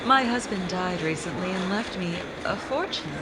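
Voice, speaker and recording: A woman playing a brisk, forthright rich widow in an old-time radio comedy. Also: lowish voice, animated, heavy background noise, lightly acted. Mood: breezy.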